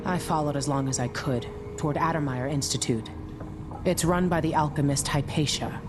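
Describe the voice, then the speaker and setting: A woman playing a gruff, guarded, middle-aged ship captain with a dry, blunt delivery in a video game. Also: deep voice, normal speed, over music, natural voice. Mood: somber. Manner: dry, blunt, matter-of-fact. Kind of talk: dramatic game dialogue.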